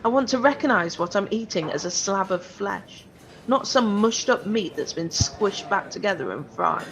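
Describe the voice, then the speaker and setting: A woman's voice: medium pitch, lively intonation, normal speed, a bit bright, a little hiss or hum, poor audio. A woman playing a cynical, foul-mouthed hotel worker in an audio drama, blunt and sarcastic. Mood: indignant.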